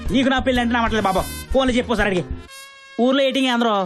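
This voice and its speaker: A man's voice: extremely high pitch, very fast. A man playing a brash, chatty small-town shopkeeper relative in a comedy film, with a coastal Andhra Telugu accent and a rambling, overfamiliar manner. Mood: loud, pushy. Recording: film soundtrack with music behind.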